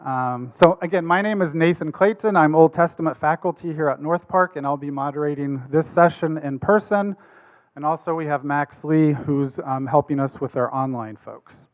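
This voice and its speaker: A man moderating an academic symposium, introducing the speakers in a relaxed way with frequent pauses. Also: on the high side.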